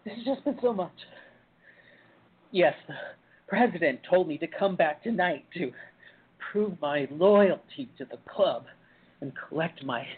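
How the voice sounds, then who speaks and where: A woman's voice: fairly low pitch, animated, measured pace, long pauses, husky, full character voice. A woman playing a frightened young man who speaks hesitantly and with confusion, on a tinny phone-like line. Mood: bewildered.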